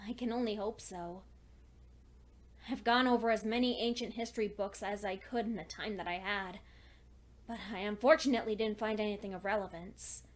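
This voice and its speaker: A woman voicing a lonely, studious female pony who clings to hope, reading her diary entries in an earnest, deliberate, dramatic fan fiction style. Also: mid-range voice, steady tone, natural voice. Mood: weary, uneasy.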